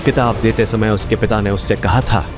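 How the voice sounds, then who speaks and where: A man's voice: fairly low pitch, fairly even intonation, normal speed. A man narrating a fantasy audio drama in a dramatic, scripted storytelling style. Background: background music.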